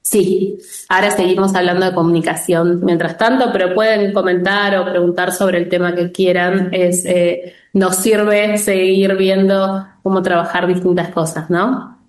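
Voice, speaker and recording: Gentle woman explaining in an echoey room. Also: on the low side, flat delivery. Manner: gentle, explanatory, drawn-out phrasing with frequent "¿no?". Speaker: spiritual workshop host. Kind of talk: answering viewer questions in a live session.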